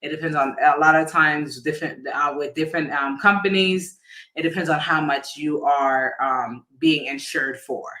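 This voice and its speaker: A man working as an insurance agent, explaining policies casually on a livestream, often pausing with fillers and restarting his sentences. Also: on the high side, sharp tone, low-quality recording. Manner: hesitant, many fillers and restarts; addresses viewers informally.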